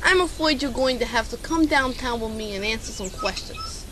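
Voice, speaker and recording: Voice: sits high, wildly swooping pitch, moderate pace. A young-sounding amateur actor playing a bumbling, pompous male private eye in a radio drama, theatrical and self-important. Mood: pompous questioning. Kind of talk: amateur radio drama detective narration and dialogue. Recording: hissy, noisy amateur home recording.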